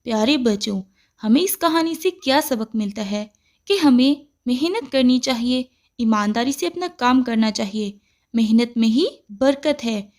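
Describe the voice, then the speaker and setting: A woman reading scripted children's story narration as a storyteller, in a measured, instructive manner. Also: a little high, lively intonation, a little mellow.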